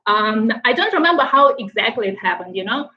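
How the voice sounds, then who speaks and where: A woman from Ukraine with a Ukrainian accent, chatting casually on a live stream, often pausing with fillers and restarting her sentences. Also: fairly high pitch, sing-song, dull audio. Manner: halting, many fillers and restarts, laughs often. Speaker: motorcycle traveler hosting a live stream.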